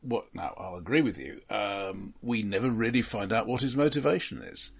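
A man co-hosting a film podcast, talking casually and analytically in a halting way. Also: on the low side, gravelly, breathy edge.

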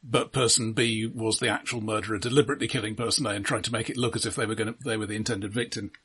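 A man with a husky, slightly low voice talks as a podcast co-host. His delivery is casual but analytical, laying out an argument point by point. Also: steady tone, very fast, fairly bright tone. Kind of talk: casual analytical film discussion.

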